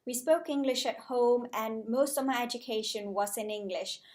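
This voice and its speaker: A woman in her twenties from Malaysia, a junior doctor talking to her viewers in a measured, carefully prepared vlog style. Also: a little high, darkish tone. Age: 20s. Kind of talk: scripted-sounding casual vlog talk to camera.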